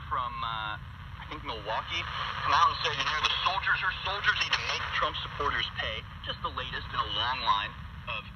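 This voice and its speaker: A man's voice: medium pitch, expressive, dull audio. Alarmist man on noisy, distant shortwave radio. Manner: emphatic, alarmist, with dramatic pauses. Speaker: political talk show host. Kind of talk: impassioned political commentary, reading articles aloud. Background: loud outdoor ambient noise, vehicles.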